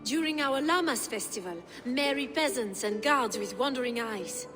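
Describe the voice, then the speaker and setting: A woman's voice: a little high, lively intonation, normal speed, lightly acted. An actress playing a poised, bitter noblewoman in a video game, speaking in arch, dry, elegant phrases. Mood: brisk, scheming. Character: poised, bitter Frankish noblewoman, unhappy wife. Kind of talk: video game cutscene acting. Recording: long hall reverb, prominent music.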